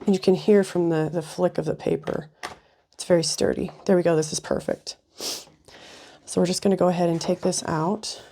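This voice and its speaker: A woman hosting a casual craft tutorial, chatty with frequent ums, her voice slightly raspy and sniffly from a cold. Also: lowish voice, steady tone, normal speed, mellow. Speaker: craft video host.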